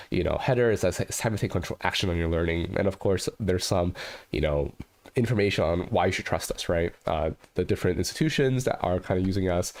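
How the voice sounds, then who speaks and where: A man's voice: deep voice, a little mellow. A man in his thirties, congested from a cold, chatting casually as host of a livestream, full of "you know" and "right?", from a living room with a webcam. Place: living room with a red sofa, indoors.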